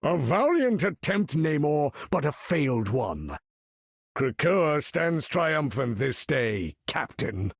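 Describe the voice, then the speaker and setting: An actor playing a proud, imperious older mutant leader in a video game, speaking in a grand, commanding, formal manner with a husky, gravelly voice. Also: male voice, a little high, big pitch swings, slow, no echo, clean studio sound, full character voice. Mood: haughty. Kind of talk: theatrical video game character acting.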